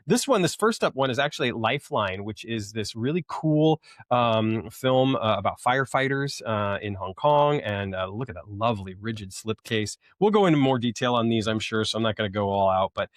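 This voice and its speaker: A man's voice: medium pitch, fairly bright tone, no room sound, clean studio sound. A man hosting a casual unboxing video, talking enthusiastically in a rambling, hesitant way full of ums. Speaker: host of a film collecting video channel.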